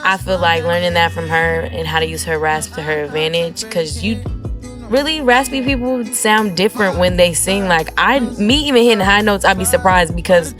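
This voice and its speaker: A woman singer with a naturally deep voice and a light rasp, chatting casually and relaxed as an interview guest, with plenty of fillers. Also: normal speed, over music.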